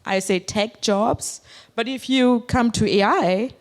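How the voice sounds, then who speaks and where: A woman's voice: a little high, slow pace. A woman with an Indian accent giving a conference talk to an audience, hesitant, with many fillers and questions to the room. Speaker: conference speaker. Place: conference hall.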